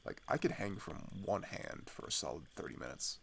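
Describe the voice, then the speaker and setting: A man playing a weary, hesitant teenage refugee in an intimate ASMR audio drama, speaking in a halting, confiding way that often drops toward a whisper. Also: sing-song, exaggerated voice. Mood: casual, boastful. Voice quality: low, gravelly with vocal fry.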